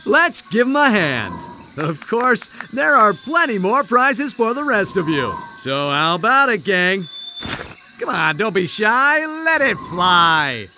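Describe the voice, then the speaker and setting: An actor playing a showy, brash contest master of ceremonies in a cartoon adventure game, hyping and cajoling theatrically. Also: male voice, high-pitched, sharp tone, a little hiss or hum, heavily acted. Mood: triumphant hype. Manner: theatrical, drawn-out hype. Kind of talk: carnival-barker announcing in a cartoon adventure game.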